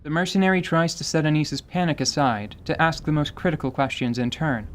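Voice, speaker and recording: A man's voice: a little high, a little fast, slight background noise. A man reading scripted narration for a fantasy audio drama.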